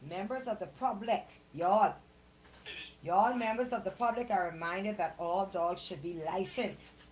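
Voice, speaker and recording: A woman's voice: mid-range voice, a little slow. A woman with a Bahamian accent co-hosting a radio show, moving between casual, blunt banter and reading local news. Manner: blunt, colloquial. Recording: clearly noisy radio show audio.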